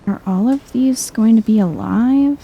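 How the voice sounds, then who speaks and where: Female gameplay streamer, ASMR-style: soft, unhurried, mellow delivery, casual and chill, faint noise behind her. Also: fairly high pitch, creaky. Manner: chill, murmuring to herself. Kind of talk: soft-spoken casual game commentary, ASMR vibe.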